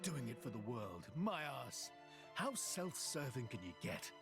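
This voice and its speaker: A man's voice: medium pitch, big pitch swings, a little slow, music in the background. A man playing a brooding, cynical prince in a video game, sardonic and dramatic in delivery. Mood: bitter, angry. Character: brooding, cynical prince with a gruff tender streak. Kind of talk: video game cutscene acting.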